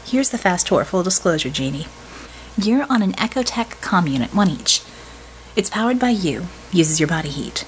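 A woman voicing an earnest, quick-witted young female hacker-mage in an audiobook, explaining things assertively with dry wit. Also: lowish voice, very animated, a little hiss or hum. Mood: brisk, explanatory. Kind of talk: audiobook character dialogue.

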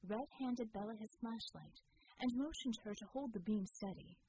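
Woman reading an action novel, mellow bit husky voice, measured n dramatic. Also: a little high, low-quality recording. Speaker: audiobook narrator. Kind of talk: scripted dramatic fiction narration.

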